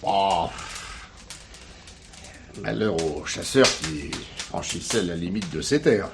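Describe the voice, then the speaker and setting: An actor playing a jovial, folksy village innkeeper who gossips freely in an old television play. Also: male voice, low voice, animated, slow pace, gravelly, exaggerated voice. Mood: jovial, gossipy. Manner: garrulous, chuckling, folksy with exclamations. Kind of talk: 1970s French television play dialogue. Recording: noisy old TV soundtrack with clicks.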